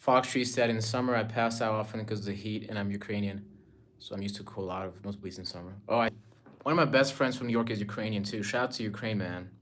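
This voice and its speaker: A man's voice: on the low side, steady tone, fast talker, a bit bright, a little hiss or hum. A man with a North American accent hosting a livestream, chatting informally with viewers in slangy, often repeated short phrases and reading their comments. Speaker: livestream host. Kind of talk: casual chat with live viewers, reading comments aloud.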